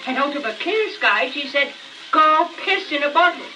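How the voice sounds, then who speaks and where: A gossipy, doting Irish housewife with a high, very animated lilt. She is effusive and feisty, in old comedy record style, heard from a distance through loud crackle. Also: normal speed. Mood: indignant gossip.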